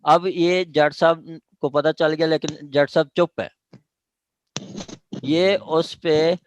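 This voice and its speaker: A man's voice: a little high, fairly even intonation, slow. A man with a Pakistani accent lecturing on statute law in a didactic way, checking in with listeners as he explains. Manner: didactic, punctuates points with check-in questions.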